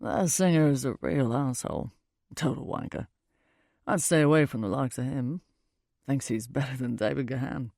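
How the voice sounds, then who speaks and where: Lady doing a brooding brit guy for an audiobook, low n mellow, dry and offhand. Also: normal speed, creaky, no room sound, full character voice. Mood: cool, offhand. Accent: British. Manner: offhand, dry, self-mocking. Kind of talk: audiobook character dialogue.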